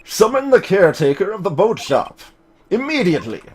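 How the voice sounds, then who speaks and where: A man's voice: high voice, sing-song, fairly quick, slightly rough, exaggerated voice. A man voicing a haughty, commanding prosecutor in a video game, with a pompous, clipped and dismissive delivery. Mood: curt, commanding.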